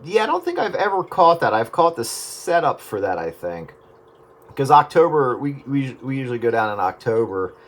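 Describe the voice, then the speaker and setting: A man's voice: medium pitch, big pitch swings, moderate pace, a little hiss or hum. A man in casual radio chat, explaining things in a laid-back, meandering way. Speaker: radio round-up participant.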